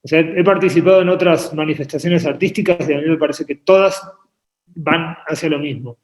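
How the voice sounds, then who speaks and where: Man, slightly high voice, hesitant and repetitive, echoey studio, video call. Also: fairly quick. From Uruguay, raised in Argentina. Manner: hesitant, repeats words while thinking. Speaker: painter and artist guest. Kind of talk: relaxed interview conversation. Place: home painting studio.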